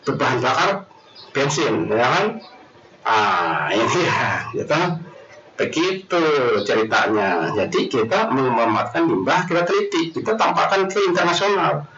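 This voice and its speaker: A man's voice: mid-range voice, big pitch swings, measured pace. A man with an Indonesian accent giving an informal lecture in a rambling, colloquial way, repeating himself and adding frequent tag questions. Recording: roomy echo, distant mic, dull noisy sound.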